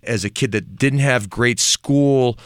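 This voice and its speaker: A man's voice: medium pitch, slow, clean tone, dry recording. Man, Turkish native speaker, earnest, candid, self-deprecating, mid-range voice, dry close sound. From Turkey. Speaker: ministry leader, podcast guest. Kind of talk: casual conversation on faith.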